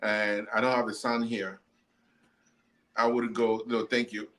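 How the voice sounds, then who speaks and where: A man co-hosting a casual football chat, animated and laughing, often mimicking people in quotes. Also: fairly low pitch, unhurried. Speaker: podcast co-host.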